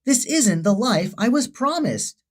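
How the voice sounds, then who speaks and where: Woman playing gloomy storybook balloon, plaintive, expressive, a little husky. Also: on the high side, unhurried, voice pushed up. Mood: disappointed. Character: gloomy, helpless female helium balloon named Sorry.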